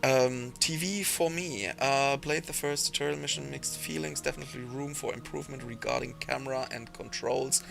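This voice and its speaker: Guy hosting a game stream, reads chat slow, lots of uh and um, kinda gravelly, music playing. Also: medium pitch, steady tone, a bit bright. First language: not English. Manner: hesitant, many fillers, reads out viewer comments. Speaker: stream host reading chat. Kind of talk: casual livestream chat.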